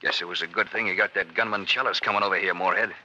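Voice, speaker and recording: A man's voice: sits low, fairly even intonation, rapid-fire, pushed and bright, full character voice. An actor playing a wary, skeptical American outlaw henchman in an old radio western. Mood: probing. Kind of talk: 1940s-50s radio western acting. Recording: muffled vintage radio recording.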